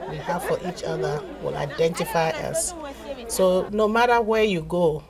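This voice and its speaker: A woman's voice: on the low side, normal speed, over a noisy crowd. A woman from Ghana, a congregation member, speaking in a documentary interview.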